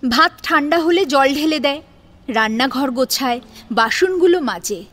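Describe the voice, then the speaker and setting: Woman reading a family drama story, high voice, super lilting, music in the background. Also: animated, normal speed, fairly bright tone. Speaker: story narrator. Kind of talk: expressive scripted narration of a domestic drama. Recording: music behind the voice.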